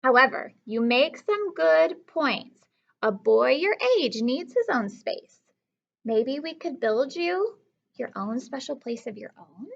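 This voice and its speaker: A woman voicing a patient, wry father in a storybook read-aloud, measured and gently firm. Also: high voice, animated, creaky, dull audio, full character voice. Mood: conciliatory. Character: patient, wry father answering his young son.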